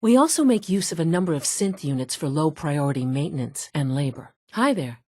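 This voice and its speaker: An actress voicing a poised, businesslike woman scientist in a video game, speaking in a measured, formal, matter-of-fact way. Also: deep voice, animated, a little mellow, no room sound, studio quality. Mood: composed, informative. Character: poised, businesslike middle-aged woman scientist running an underground facility. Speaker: video game character, facilities division head. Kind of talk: scripted video game dialogue.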